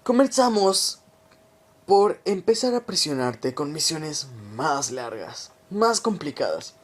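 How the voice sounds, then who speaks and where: A man playing a cold government conspirator in a radio drama, confessing a plot to his victim in a deliberate, taunting, menacing way. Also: on the high side, big pitch swings, heavily acted. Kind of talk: amateur radio drama monologue.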